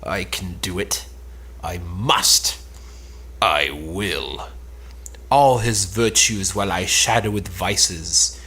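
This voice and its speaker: A man playing a scheming, lovesick woman in an Elizabethan stage drama, declaiming with drawn-out anguish and spite. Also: sits low, pushed and bright, slightly rough, voice pushed down, exaggerated voice, poor audio. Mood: vengeful, determined, then bitter longing.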